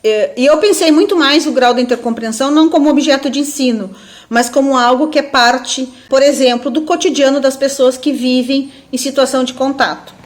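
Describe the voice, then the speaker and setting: A woman's voice: sits high, fairly quick. A woman with a southern Brazilian accent giving an informal academic webinar talk as a professor, thinking aloud and often checking in with tag questions. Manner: reflective, seeks agreement with frequent tag questions. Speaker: university professor, webinar speaker.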